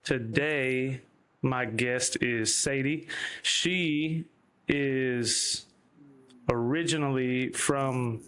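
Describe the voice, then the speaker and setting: A man hosts a relaxed interview, his voice at an everyday middle pitch. He asks his questions in a loose, rambling way, full of ums and false starts. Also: extremely slow, smooth. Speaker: host. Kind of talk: casual interview hosting.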